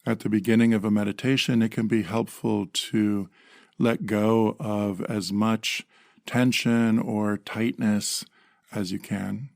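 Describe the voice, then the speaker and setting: Calm, low, creaky-voiced man guiding meditation. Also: no echo, clean studio sound. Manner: calm, measured, with long pauses. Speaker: meditation guide, therapist. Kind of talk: guided meditation.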